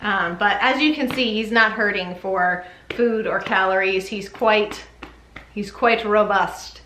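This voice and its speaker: Female parenting vlogger, chatty and informal, bright tone, roomy echoing sound. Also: mid-range voice, measured pace. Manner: chatty, informal, often says "like". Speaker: parenting vlogger giving a baby update. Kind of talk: casual chat to camera. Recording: echoey room with faint background noise.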